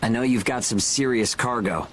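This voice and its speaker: A man's voice: mid-range voice, normal speed, a bit bright, slight background noise, lightly acted. Actor as a cocky, blunt young mercenary man. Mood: bargaining. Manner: casual, blunt, self-assured. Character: cocky, pragmatic young male mercenary fighter. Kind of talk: video game cutscene acting. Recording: game music behind the voice.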